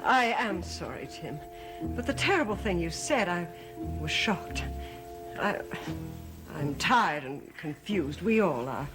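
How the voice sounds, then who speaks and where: Imperious, manipulative wife, swooping theatrical delivery. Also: female voice, on the low side, big pitch swings, slow, rough recording. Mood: placating, weary. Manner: theatrical, coaxing, pointed questions. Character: manipulative, imperious wife feigning fragile distress. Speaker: soap opera actress. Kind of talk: gothic soap opera acting. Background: dramatic music.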